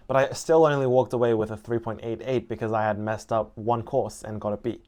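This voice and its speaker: A man's voice: medium pitch, darkish tone, a little hiss or hum. A young man, a university student, giving earnest, explanatory advice in a scripted vlog narration. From international student. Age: young adult. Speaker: student vlogger.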